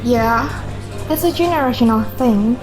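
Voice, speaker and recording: A woman acts out a wry, questioning wife in a radio play. Her voice is notably high, with a probing, teasing manner. Soft music plays faintly behind her. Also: moderate pace, natural voice, low-quality recording. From Indonesia. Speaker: actor in a student radio drama. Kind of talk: radio drama acting.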